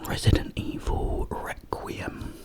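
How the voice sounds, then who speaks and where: A British man whispering a casual, rambling ASMR story up close to the microphone, with pauses. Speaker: ASMR video creator.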